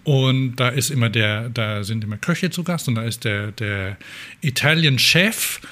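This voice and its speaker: A man's voice: medium pitch, a little slow, pushed and bright. A man chatting casually as a podcast host and telling anecdotes.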